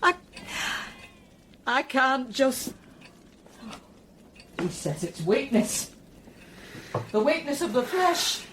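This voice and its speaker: A woman acting in a radio drama as a distraught, devout mother, speaking in a halting, emotional way. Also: sits high, very animated, fairly bright tone, a little hiss or hum, exaggerated voice. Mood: bitter grief.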